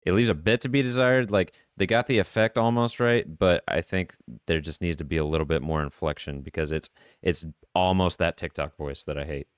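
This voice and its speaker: A man with an extremely deep, smooth voice co-hosts a podcast. He talks casually and offers opinions with the odd curse, recorded dry without room sound. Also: fairly quick, few pauses, no echo. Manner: casual swearing. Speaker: podcast co-host reviewing web horror series.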